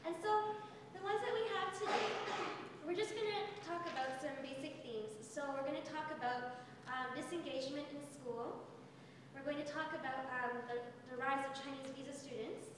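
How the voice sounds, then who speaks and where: High-voiced woman addressing a hall. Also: moderate pace, noisy background. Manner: direct, engaging, addresses the audience. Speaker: teacher presenting to an audience. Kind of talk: presentation to an audience. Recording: echoey hall, far from mic.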